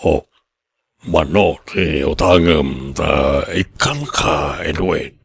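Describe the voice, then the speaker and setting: A man playing an older, guarded moneylender in an Ilocano radio drama, gravelly and husky, with an Ilocano accent. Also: rumbling low, expressive, extremely slow, no room sound, full character voice. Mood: guarded, measured. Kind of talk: Filipino radio drama acting.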